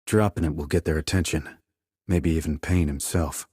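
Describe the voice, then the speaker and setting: An actor playing a terse, dry, confident military sniper in a video game, with a husky voice and clipped, controlled reports. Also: male voice, rumbling low, fairly even intonation, moderate pace, mellow, no echo. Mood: businesslike. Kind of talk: scripted military game dialogue.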